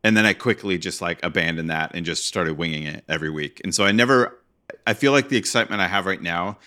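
A man with a very low voice, chatting casually as a podcast co-host. His tone is forceful and bright, and his delivery is enthusiastic and rambling, with plenty of fillers. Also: fast. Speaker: podcast co-host, newsletter writer. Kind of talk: casual chat.

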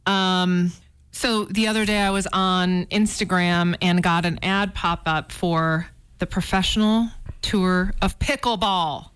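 A woman's voice: on the low side, steady tone, slow pace, a bit bright, smooth. An American woman co-hosting a radio show, chatting casually about pop culture and sports.

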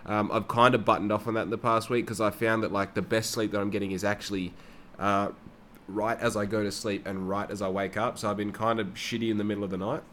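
A man's voice: lowish voice, flat delivery, quick pace, fairly bright tone, a little hiss or hum. A young man rambling through a casual personal monologue, swearing freely. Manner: rambling and heavily profane.

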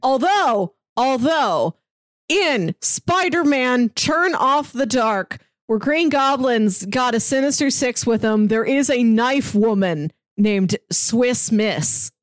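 A woman with a high, lively voice chats at an unhurried pace in a dry, close recording. She co-hosts a podcast and jokes along with playful mock-grand phrasing. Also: no echo. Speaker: pop culture podcast co-host. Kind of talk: casual, joking podcast chat.

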